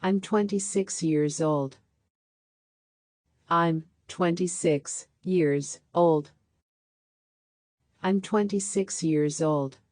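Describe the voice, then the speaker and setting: A woman reading practice sentences aloud for a language lesson, saying each one three times with a slowed repeat. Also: lowish voice, darkish tone, no echo, studio quality. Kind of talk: slow scripted sentence drills.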